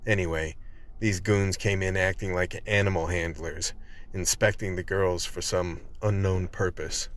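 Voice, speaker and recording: An actor playing a wry vice team member, narrating a radio play colloquially. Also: male voice, low-pitched, fairly even intonation, measured pace. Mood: grim storytelling. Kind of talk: scripted in-character narration.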